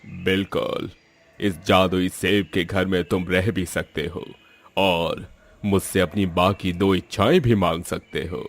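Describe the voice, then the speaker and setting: A man voicing a magical apple house, a calm and generous wish-granter in an animated moral story. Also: low-pitched, takes it slowly, voice pushed down, full character voice. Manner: calm, benevolent. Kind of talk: cartoon moral story dialogue.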